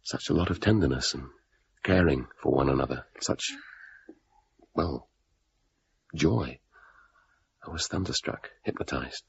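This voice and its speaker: A tormented middle-aged British father in a radio drama. His voice is extremely low, gravelly and very rough, halting and wistful, on a slightly dull recording. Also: animated, full character voice. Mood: wistful, confessional. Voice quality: gravelly, husky. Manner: halting, brooding, intense.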